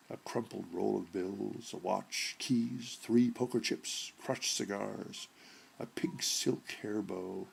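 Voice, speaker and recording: Gravelly male short-story narrator. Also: fairly low pitch, darkish tone, low-quality recording. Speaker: audiobook narrator.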